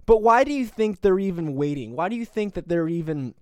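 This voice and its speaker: A man's voice: sits high, animated, brisk, no echo. Sarcastic young man ranting on a podcast. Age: 20s. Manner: sarcastic, combative, rambling. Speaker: podcast host. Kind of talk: opinionated solo commentary.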